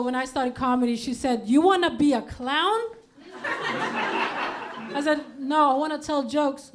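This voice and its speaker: A woman in her thirties from Denmark, performing a stand-up comedy set on a club stage, deadpan with punchy outbursts. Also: high voice, background noise.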